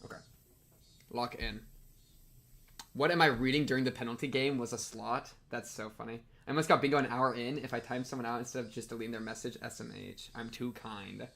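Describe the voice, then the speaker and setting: A man hosting a live game playthrough, excitable and given to repeating his phrases, bantering with chat and reading game text aloud. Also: mid-range voice, brisk, creaky. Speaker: livestream host.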